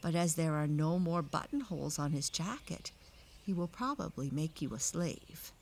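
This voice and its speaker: A woman's voice: deep voice, normal speed, darkish tone, slightly rough. A woman voicing a little field mouse in a storybook, explaining things in a doleful, resigned way.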